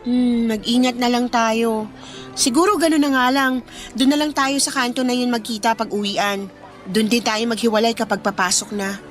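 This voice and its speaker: Actress as young working girlfriend, high pitch, gentle voice of reason, radio drama with light music bed. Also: fairly even intonation, normal speed, close to an everyday voice. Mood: cautioning. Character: sensible, caring young female fast-food worker, girlfriend.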